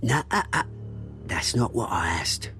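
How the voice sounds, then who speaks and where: An actor playing a smug, taunting rich villain with a British accent in a video game, mocking and theatrical. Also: male voice, mid-range voice, very animated, unhurried, vocal fry, sharp tone, heavily acted. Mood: taunting, menacing. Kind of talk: video game cutscene acting. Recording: music behind the voice.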